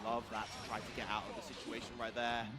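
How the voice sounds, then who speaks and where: A man's voice: medium pitch, completely flat delivery, quick pace. A man giving live commentary and analysis of a fighting game match on an esports broadcast. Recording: roomy broadcast sound with music behind. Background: music.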